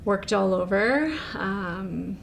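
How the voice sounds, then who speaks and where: Easygoing woman with a gravelly voice. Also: mid-range voice, very slow. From Canada. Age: 40s. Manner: easygoing, many fillers and agreeing yeahs. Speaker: guest, former professional snowboarder. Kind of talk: casual interview answers.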